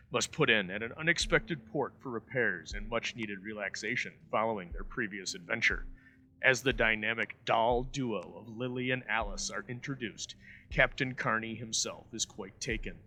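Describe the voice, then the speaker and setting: A man's voice: lowish voice, pushed and bright, rough recording. A man hosting an old-time radio show, reading a scripted introduction in florid storyteller phrasing. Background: music.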